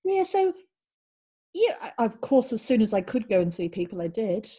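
A woman who grew up in Belgium, a guest on a casual interview chat, speaking in a friendly, gentle, cozy way. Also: fairly high pitch, sing-song, moderate pace, slightly rough. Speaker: interview guest, PhD student.

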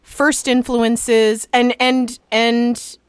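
A woman's voice: on the high side, flat delivery, drawn-out words, clean tone, dry recording. Woman podcast host, close dry sound. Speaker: podcast host interviewing a guest. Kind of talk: casual interview chat.

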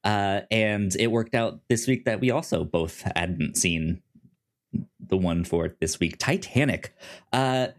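A man's voice: on the low side, animated, takes it slowly. Guy chatting on a movie podcast, takes his time but voice moves around a lot. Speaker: podcast co-host. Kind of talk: casual movie podcast chat.

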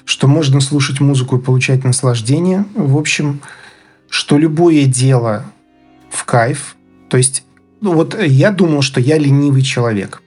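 Male interview guest, around forty, from Belarus: mid-pitched, thoughtful, hesitant personal storytelling over faint music. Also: normal speed, a little mellow, breathy edge. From Minsk, Belarus. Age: 30s to 40s.

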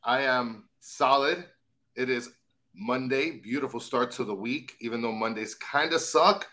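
A man's voice: medium pitch, a little slow, bright, forceful tone. A man co-hosts a casual podcast in a mid-range voice. He is laid-back and playful, tossing in offbeat side questions. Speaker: podcast co-host.

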